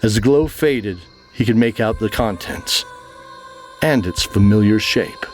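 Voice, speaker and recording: A man's voice: on the low side, unhurried, soft background music. A man narrating a fantasy audio drama in a scripted, dramatic storytelling style.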